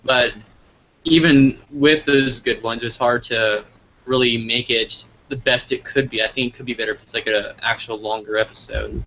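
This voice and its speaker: Bright-voiced man hosting, noisy dull audio. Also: medium pitch, steady tone, moderate pace. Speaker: interviewer and host. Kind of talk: casual live interview chat. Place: live online video call. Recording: noisy, band-limited online call audio.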